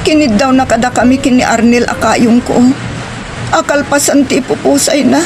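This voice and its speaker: A woman's voice: sits high, moderate pace, background noise. A woman acting an anguished young woman pleading with her beloved in an audio drama, tearful, with a Filipino Ilocano accent. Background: prominent music.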